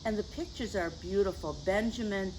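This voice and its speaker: A woman reading a picture book to young children in a gentle, teacherly way, with chatty asides to her listeners. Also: medium pitch, moderate pace, noisy background. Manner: gentle teacherly address, tag questions to the listeners. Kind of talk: read-aloud story time for young children with chatty asides. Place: outdoors.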